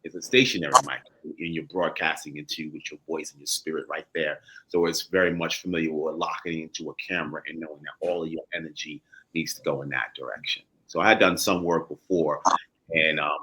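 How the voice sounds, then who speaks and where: A man's voice: very deep voice, level tone, a little fast, sharp tone, low-quality recording. A man, an actor, talking casually and emphatically as an interview guest in colloquial language. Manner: colloquial, emphatic, peppered with 'you know'. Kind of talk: casual, animated-worded storytelling in an interview.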